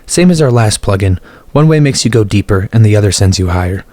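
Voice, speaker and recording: A man's voice: on the low side, lively intonation, quick pace, a little mellow, no echo. A man hosting a tutorial in a scripted narration style. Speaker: tutorial host.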